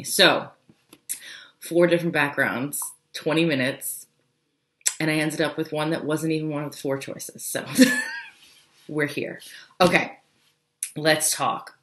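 A young woman speaking low and hoarse, her voice scratchy from a cold. She chats and rambles on a webcam livestream from a home office. The room is echoey. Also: a bit bright. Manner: chatty, rambling, quick asides. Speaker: business coach. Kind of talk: casual livestream talk.

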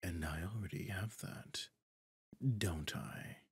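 A man playing a smug, possessive devil in a roleplay audio drama, suave and pompous, with a breathy, husky delivery that often drops toward a whisper. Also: low-pitched, no room sound, studio quality, full character voice. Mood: condescending. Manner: theatrical, silky, pompous, drawn-out phrasing. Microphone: close, dry studio microphone.

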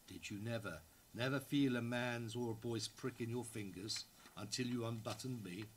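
A man's voice: mid-range voice, a bit bright. An actor in his sixties giving a dramatic reading as an ardent, guilt-ridden male writer addressing his lover, in a live room. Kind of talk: dramatic reading of a literary letter. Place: indoor library room, seated before an audience.

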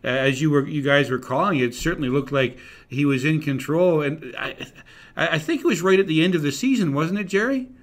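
A man's voice: fairly high pitch, a little fast. An older man hosting a sports talk show, reminiscing in a rambling, conversational way on a studio desk microphone. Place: indoor broadcast studio. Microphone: desk broadcast microphone.

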